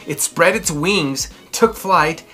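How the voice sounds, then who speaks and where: A man in his thirties hosting a children's read-aloud, telling stories and chatting in a theatrical, emphatic way with drawn-out words. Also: on the high side, bright, forceful tone, faint music behind. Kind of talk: expressive storytelling and chatty commentary for children. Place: small furnished room indoors.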